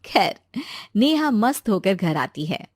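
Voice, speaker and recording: A woman's voice: medium pitch, big pitch swings, slow pace, dry recording, studio quality. A woman narrating a scripted moral story as a storyteller.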